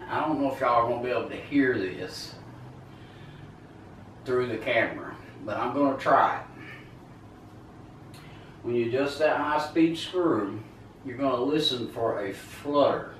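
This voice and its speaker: A man's voice: medium pitch, unhurried, pauses often, far from the mic, slight background noise. Man raising his voice in a garage. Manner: loud over running chainsaw, talks while working. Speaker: small-engine repair instructor. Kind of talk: hands-on repair tutorial. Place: large garage with concrete floor. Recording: echoey garage, off-mic.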